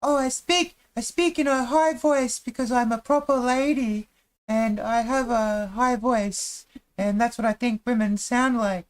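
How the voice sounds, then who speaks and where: A man putting on a high falsetto as a prim, affected proper lady. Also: fairly even intonation, unhurried, smooth.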